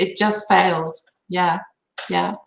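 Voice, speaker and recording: A woman's voice: on the low side, fairly even intonation, slow pace, creaky. A middle-aged woman chatting casually about her own life as a guest on a live reading. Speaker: guest having her cards read. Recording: roomy, echoing sound.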